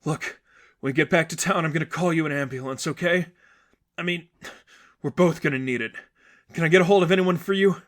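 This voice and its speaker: Man voicing a frantic young woman, pitched high. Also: a little fast, pauses often, natural voice. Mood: urgent, concerned. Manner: frantic, stammering, exclaiming. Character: panicked, bewildered young woman, just rescued.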